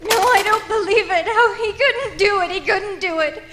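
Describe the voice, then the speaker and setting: An actress playing a frantic, self-pitying, imperious invalid wife in a radio thriller, stammering, pleading and breaking into shrill outbursts. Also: female voice, normal speed, noisy background. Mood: hysterical, angry. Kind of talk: old-time radio thriller acting.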